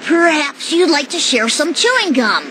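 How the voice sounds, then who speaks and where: A woman's voice: high voice, very animated, normal speed, heavily acted. A woman voicing a nerdy, lovesick young boy, hesitant and awkward, in a cartoon game. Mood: awkward, hopeful. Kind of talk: animated game cutscene dialogue. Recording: loud noise behind the voice.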